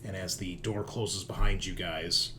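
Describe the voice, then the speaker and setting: A man's voice: low voice, steady tone, unhurried, noisy background. A man narrating a tabletop roleplaying game as game master, talking conversationally and directly to his players.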